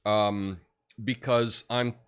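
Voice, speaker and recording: A deep-voiced man hosting a livestream, chatting casually and reading viewer comments with lots of fillers. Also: drawn-out words, clear, resonant tone. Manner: rambling, relaxed, many fillers and restarts. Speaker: livestream host.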